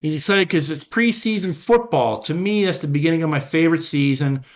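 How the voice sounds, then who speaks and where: A man in his fifties hosts a casual podcast, talking in a wry, rambling way and making fun of himself. His voice sits a little high. The sound is dull and lo-fi. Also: expressive, brisk. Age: 50s. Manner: wry, rambling, self-deprecating. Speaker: podcast host.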